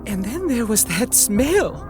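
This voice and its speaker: A man's voice: extremely high pitch, sing-song, slow pace, pitched above the performer's own voice, full character voice. A man voicing a plain-spoken frontier townswoman who tells events in a level-headed, matter-of-fact way, over background music. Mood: grim.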